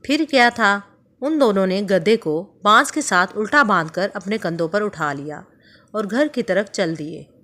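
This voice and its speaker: Woman reading a kids moral story, calm and measured, middle pitch. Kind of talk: scripted narration for children.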